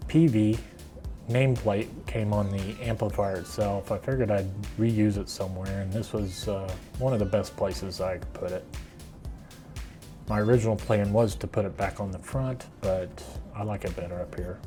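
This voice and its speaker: A man's voice: on the low side, steady tone, moderate pace, mellow, soft background music. A middle-aged man with an American accent, casually explaining a do-it-yourself project in a plainspoken, unscripted way. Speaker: woodworking video host.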